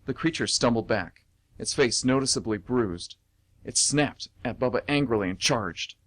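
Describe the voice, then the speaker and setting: Mid-pitched man narrating radio drama. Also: lively intonation, normal speed, fairly bright tone. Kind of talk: dramatic story narration for amateur radio theatre.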